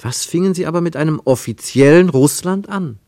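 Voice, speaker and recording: A man narrating a literary radio play in a reflective, rhetorical manner with deliberate emphasis. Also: fairly high pitch, mellow, dry recording, clean studio sound, lightly acted. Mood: reflective, questioning. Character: reflective literary narrator of a postwar travel story.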